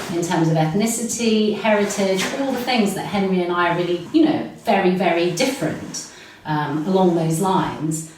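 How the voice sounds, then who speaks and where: London woman in her 40s musing on and on, lots of ums, quite far from the mic in a big echoey room. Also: mid-range voice. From London, England. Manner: reflective, flowing, many filler words. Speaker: guest. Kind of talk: podcast interview answer. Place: room recorded in person. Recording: very echoey room, set back from mic, faint noise.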